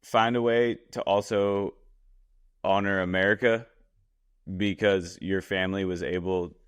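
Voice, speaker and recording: A man's voice: low-pitched, clean tone. A man hosting a podcast, a chef talking in a blunt, casual way that is full of swearing and repeated words. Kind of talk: casual chat. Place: Los Angeles.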